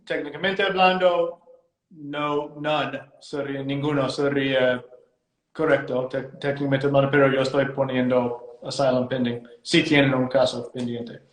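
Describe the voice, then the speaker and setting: A man's voice: mid-range voice, level tone, measured pace, echoey room, low-quality recording. A man with an American accent and English as his first language, a lawyer explaining immigration law as a guest in a live interview, hesitant and repeating words as he phrases things carefully.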